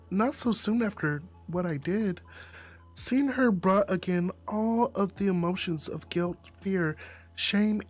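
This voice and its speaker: A streamer reads a story aloud in an unhurried, very animated voice, dropping in sassy asides. The delivery is expressive and slangy, with faint music playing behind. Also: medium pitch, slightly rough. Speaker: streamer reading a visual novel aloud.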